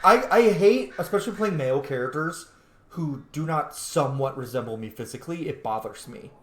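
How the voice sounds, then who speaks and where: Male gaming host, chatty and opinionated, slightly high-pitched, recorded with noticeable room echo. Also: expressive, fairly quick, a bit bright. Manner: opinionated, chatty fan enthusiasm. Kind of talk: casual let's-play commentary.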